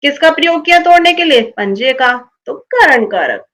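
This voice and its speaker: A woman's voice: high-pitched, lively intonation, moderate pace, a bit bright. A woman teaching a grammar lesson to children, explaining step by step and often checking understanding with questions.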